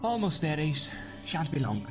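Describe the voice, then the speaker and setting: A performer playing an enigmatic, guilty time-travelling mentor in an audio drama, hesitant, measured and placating. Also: medium pitch, big pitch swings, over music, lightly acted. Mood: resigned.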